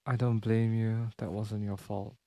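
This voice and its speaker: A man's voice: fairly low pitch, level tone, a little slow, mellow. A man reacting casually on a live game stream, talking sympathetically back to the characters.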